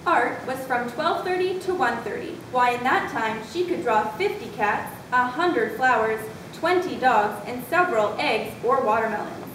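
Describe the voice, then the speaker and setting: A woman's voice: lively intonation, normal speed, hardly any pauses, a bit bright. A woman reading scripted story narration as a narrator in amateur readers' theatre. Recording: distant, very echoey room, noisy with rumble.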